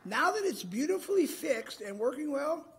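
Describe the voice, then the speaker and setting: A man's voice: very high voice, very animated, normal speed, fairly bright tone. A man chairing a city council meeting as mayor in an informal, chatty, joking way. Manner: folksy, joking. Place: large council chamber.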